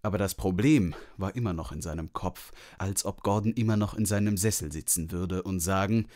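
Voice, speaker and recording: A male narrator with a low-pitched voice reads a novel aloud. The delivery is scripted storytelling in the manner of an audiobook. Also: a little fast, a little mellow.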